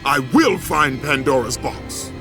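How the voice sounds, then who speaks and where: An actor playing a fierce, tormented Spartan warrior captain in a game, with an anguished, deliberate delivery. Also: male voice, mid-range voice, expressive, over music. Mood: furious defiance.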